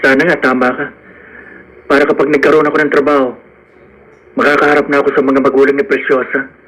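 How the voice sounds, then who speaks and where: A man's voice: mid-range voice, level tone, fast, fairly bright tone, natural voice. A man playing a poor, hopeful and determined young man in love, in an earnest radio drama style. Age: young adult.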